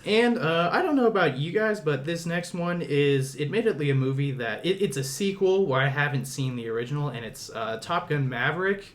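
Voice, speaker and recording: A man's voice: fairly high pitch, expressive, fairly quick, hardly any pauses. A man co-hosting a podcast, introducing and discussing films in casual conversation. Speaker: podcast co-host.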